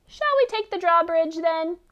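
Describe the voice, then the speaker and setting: A woman's voice: extremely high pitch, expressive, a little slow, bright, forceful tone, muffled recording, pitched above the performer's own voice, full character voice. A woman voicing a skeptical, weary female hen helper in a children's storybook, with dry, grudging replies. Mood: dry, doubtful. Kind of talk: children's storybook read-aloud.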